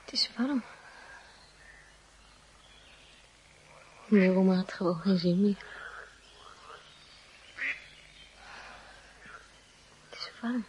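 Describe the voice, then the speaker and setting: A woman's voice: mid-range voice, fast, dark tone, breathy edge, dull audio. A woman playing a plain-spoken teenage schoolgirl in a radio drama. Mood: listless.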